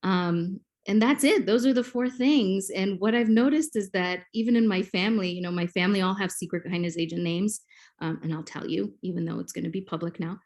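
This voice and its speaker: A woman's voice: mid-range voice, fairly quick, a little mellow. A woman in her forties from Kenya, a native Swahili speaker, talking as a podcast guest and explaining things with many ums like a classroom teacher. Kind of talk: podcast interview.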